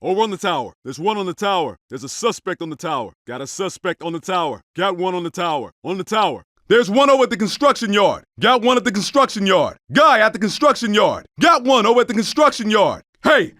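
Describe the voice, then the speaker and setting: Game undercover cop: alert and clipped, higher-pitched man's voice, terse combat callouts, very dry recording. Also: animated, brisk, hardly any pauses, sharp tone, no room sound. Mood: low, guarded. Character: gruff, alert male undercover cop in gunfight. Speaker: video game player character. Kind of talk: short tactical callouts.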